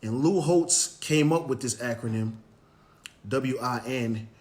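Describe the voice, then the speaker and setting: Raspy upbeat man hosting a live stream. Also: mid-range voice, takes it slowly, a little mellow. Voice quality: raspy, hoarse. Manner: upbeat motivational, frequent "um" and "you know". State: hoarse from cheering at a game. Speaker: host of a Facebook Live motivational show. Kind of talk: live-stream talk, greeting viewers by name. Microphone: live stream, phone or webcam.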